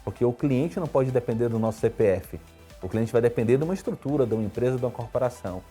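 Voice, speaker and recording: A man around fifty from Salvador, a guest telling his life story in a relaxed interview. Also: soft background music. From Brazil (Salvador, Bahia). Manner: quick, storytelling, lively pitch swings. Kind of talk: conversational interview answers.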